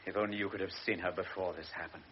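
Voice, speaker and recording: An actor playing an elderly, anxious and apologetic father in a radio drama, speaking earnestly and sometimes dropping to a hush. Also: sits low, steady tone, rapid-fire, slight background noise, lightly acted. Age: older. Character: elderly gentle anxious father, wealthy host. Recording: dull old radio recording.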